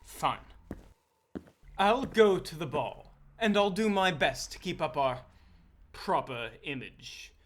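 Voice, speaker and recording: A man playing a jealous, wounded vampire in a dark comedy audio drama, prim and theatrically indignant. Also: a little high, expressive, slow, long pauses. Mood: sulky, cold. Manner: indignant, pouting, melodramatic.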